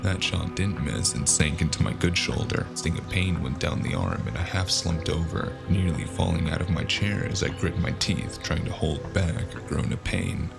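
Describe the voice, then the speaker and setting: A man playing a sardonic, world-weary cyberpunk investigator in an audio drama, narrating in first person with a gravelly, wry delivery. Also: very deep voice, lively intonation, moderate pace, hardly any pauses, loud music behind the voice, exaggerated voice. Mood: wry, tense narration. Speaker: narrator and lead character.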